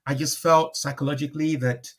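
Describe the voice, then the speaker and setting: A man's voice: on the high side, fairly even intonation, fairly quick. Reflective man talking in an interview. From born in Liverpool, moved to Nigeria at five. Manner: repeats and rephrases phrases as he reflects. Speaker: comedian, interview guest.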